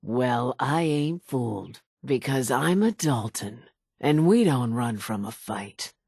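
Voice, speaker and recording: A raspy, deep-voiced vengeful old widow. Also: female voice, expressive, slow, a little mellow, no echo, clean studio sound, heavily acted. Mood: defiant. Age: older. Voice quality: raspy, gravelly. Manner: folksy, dramatic storytelling drawl. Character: vengeful old island widow, grimly theatrical storyteller. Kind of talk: video game character dialogue.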